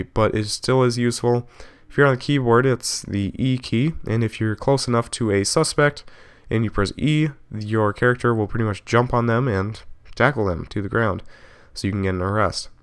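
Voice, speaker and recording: A man narrating a software tutorial casually, explaining step by step in an informal way and correcting himself as he goes. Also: lowish voice, moderate pace, few pauses, clean tone. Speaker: video tutorial host.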